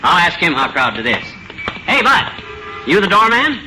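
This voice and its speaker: A man's voice: high voice, huge pitch swings, moderate pace, sharp tone, soft background music, exaggerated voice. A man playing a jovial, blustery middle-aged husband in old radio comedy, full of folksy slangy banter. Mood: eager, insistent. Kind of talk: 1940s radio comedy acting. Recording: noisy old radio broadcast recording.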